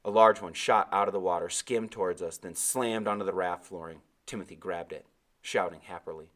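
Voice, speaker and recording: A man's voice: deep voice, a little fast, a bit bright. A man reading a novel aloud as its narrator, in scripted storybook narration.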